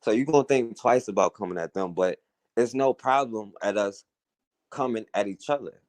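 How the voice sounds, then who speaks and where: A man's voice: fairly low pitch, normal speed, darkish tone, no room sound. A man delivers an earnest, conversational radio monologue. He checks in with his listeners through "you know" and "right?". The sound is dry and close. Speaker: talk radio host. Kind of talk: casual monologue commentary.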